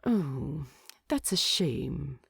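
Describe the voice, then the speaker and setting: Male hobbit, voiced by a woman, storybook character, drowsy, soft, slow, gently teasing. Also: sits low, very animated, creaky, husky, no room sound, clean studio sound, voice pushed down, full character voice. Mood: sleepy, sympathetic.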